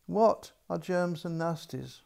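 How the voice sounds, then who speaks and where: A man's voice: a little high, takes it slowly, gravelly, darkish tone. An older man voicing a stubborn, questioning little girl princess in a storybook reading, protesting indignantly.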